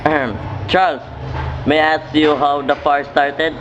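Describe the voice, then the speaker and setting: A man's voice: fairly high pitch, flat delivery, natural voice. Man playing a formal older authority figure, muffled. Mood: stern, formal. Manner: formal, measured wording. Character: stern, formal father and kindly chemistry professor. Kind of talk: amateur radio play acting. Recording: muffled, clearly noisy amateur recording.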